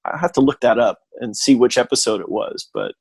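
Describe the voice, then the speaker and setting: An American man in middle age, talking casually as an interview guest in a halting, meandering way with dry humor. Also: mid-range voice, darkish tone, slightly rough. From Connecticut, United States. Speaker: podcast guest, audio drama producer.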